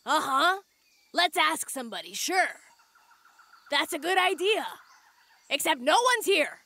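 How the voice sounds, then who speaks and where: A woman voicing a helpful, excitable young boy tiger cub in a children's cartoon, earnest and peacemaking. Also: high-pitched, measured pace, no room sound, exaggerated voice. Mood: puzzled. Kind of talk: dubbed children's cartoon acting.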